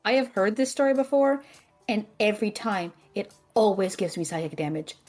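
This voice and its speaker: A woman voicing a blunt, sarcastic young magic student in an audio drama, often exasperated and deadpan. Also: medium pitch, normal speed, soft background music, close to an everyday voice. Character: sardonic, blunt young magic student, quick to threaten friends. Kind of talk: audio drama character banter.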